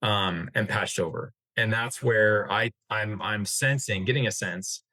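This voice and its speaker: American male expert interview guest, lowish voice, halting and wordy delivery. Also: sharp tone, no echo. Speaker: interview guest, healthcare industry executive. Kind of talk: informal expert discussion.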